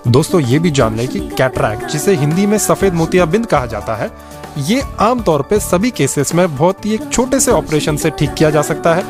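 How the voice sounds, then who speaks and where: A man's voice: a little high, sing-song, normal speed, over music. A man with an Indian accent hosting a radio show, presenting and interviewing. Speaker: radio host.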